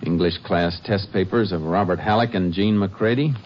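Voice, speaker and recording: A calm, low-voiced Texas Ranger detective played by an actor in an old radio western, clipped and authoritative. The sound is muffled and lo-fi with a faint hiss. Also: male voice, normal speed, lightly acted. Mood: steady. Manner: steady, clipped, authoritative questioning. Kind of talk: 1950s radio drama acting and narration.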